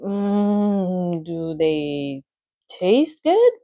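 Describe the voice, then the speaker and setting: A woman's voice: fairly low pitch, sing-song, drawn-out words, mellow, highs cut off, slight background noise, heavily acted. A woman reading a storybook aloud, voicing an innocent young male pig who gasps and pleads. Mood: anxious. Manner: gasping, pleading.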